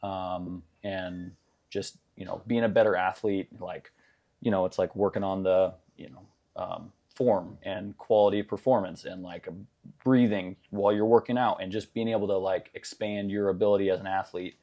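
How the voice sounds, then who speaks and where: A man's voice: low voice, steady tone, moderate pace, dark tone. A young man answering interview questions in a casual, hesitant way, with many fillers and restarts. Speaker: gym member being interviewed.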